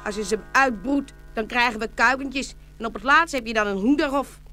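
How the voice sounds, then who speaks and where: A woman as a bright, gushing farmer's wife. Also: a little high, fairly quick, soft background music. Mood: adoring, gleeful. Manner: gushing, affectionate. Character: adoring, ever-delighted farmer's wife, easily pleased. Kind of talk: children's fairy-tale audio play acting.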